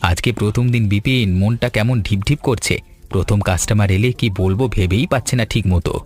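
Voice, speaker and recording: A man voicing an eager, low-voiced young village vendor. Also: moderate pace, lightly acted. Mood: eager, friendly. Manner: earnest, polite, chatty. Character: eager young fish-fry seller, friendly village man. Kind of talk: animated story dialogue.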